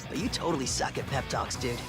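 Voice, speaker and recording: An actor playing a goofy, laid-back teenage mutant turtle in a video game, with slangy dude delivery. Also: male voice, medium pitch, fast, natural voice. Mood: playful. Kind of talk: video game cartoon acting. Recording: loud music behind the voice.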